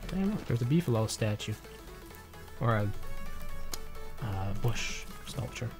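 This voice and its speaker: A man's voice: medium pitch, big pitch swings, a little slow, music in the background. A man getting over a cold, chatting casually with a viewer and thinking aloud as he plays through a livestream. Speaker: game streamer. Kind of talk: casual livestream chat while playing.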